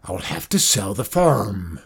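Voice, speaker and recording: A storyteller voicing an angry, gloomy farmer who shouts alarmist predictions of disaster in a children's tale. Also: medium pitch, very animated, measured pace, vocal fry, breathy edge, exaggerated voice. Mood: furious.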